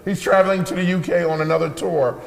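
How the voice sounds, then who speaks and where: A man co-hosting a live morning talk show, loud and upbeat, often repeating himself and laughing. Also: sits high, steady tone, fast talker, gravelly, reverberant, in a large hall.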